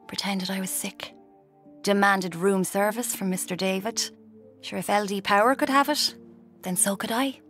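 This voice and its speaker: A woman's voice: mid-range voice, fairly bright tone. An actress playing a restless would-be writer in her twenties with an Irish accent, confiding drily in a radio drama. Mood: wry, boastful. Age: 20s. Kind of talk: radio drama, first-person confiding narration and dialogue.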